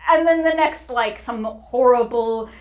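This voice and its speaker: A woman's voice: on the high side, measured pace. A woman, an audio series narrator, chatting casually and playfully as an interview guest. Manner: playful, conversational.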